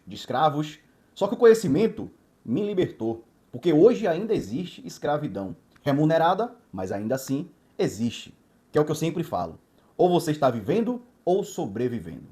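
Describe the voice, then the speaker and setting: A man's voice: a little high, very animated, moderate pace, mellow. Emphatic businessman telling his story. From Salvador, Bahia, Brazil. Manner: emphatic, repeats words in lists, frequent "né". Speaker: watch distributor telling his life story. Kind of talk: motivational personal storytelling.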